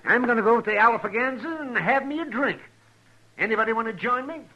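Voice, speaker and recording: An actor playing a crotchety, proud old frontier doctor in a 1950s radio western, with a blunt, folksy manner. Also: male voice, extremely high pitch, expressive, quick pace, muffled recording, slight background noise. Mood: determined. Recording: 1950s radio drama recording.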